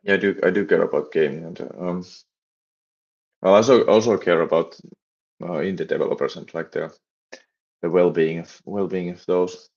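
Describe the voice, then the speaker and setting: A young man from Finland with a Finnish accent, chatting on a live stream and talking haltingly with many restarts and fillers. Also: deep voice, steady tone, darkish tone, highs cut off. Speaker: livestreaming startup developer. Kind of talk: casual live stream chat.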